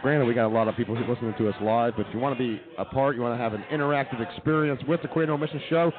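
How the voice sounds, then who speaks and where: Talk radio host: American man, mid-pitched conversational voice, narrow tinny broadcast sound. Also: steady tone, very fast, a crowd in the background. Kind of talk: casual sports talk radio. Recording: muffled, band-limited live broadcast.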